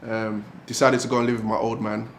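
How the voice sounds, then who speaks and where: A man's voice: on the low side, brisk, a little hiss or hum. A young man from London talking casually about his life in an interview, with a laid-back, chatty manner. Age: young adult. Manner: relaxed, casual, with frequent "do you know what I mean". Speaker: hostel resident rep.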